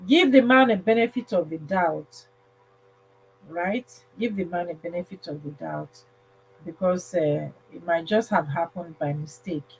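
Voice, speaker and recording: A woman's voice: on the low side, a little slow, slight background noise, rough recording. A woman hosting a casual livestream advice talk, speaking emphatically and repeating phrases for stress. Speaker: livestream host.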